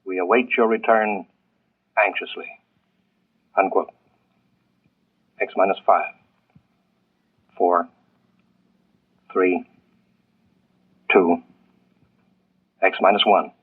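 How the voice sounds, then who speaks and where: Solemn mission control man, official announcing, husky, muffled old 50s radio. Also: low-pitched, steady tone, drawn-out words, long pauses, exaggerated voice. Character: solemn male launch tower controller. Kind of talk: 1950s radio drama acting.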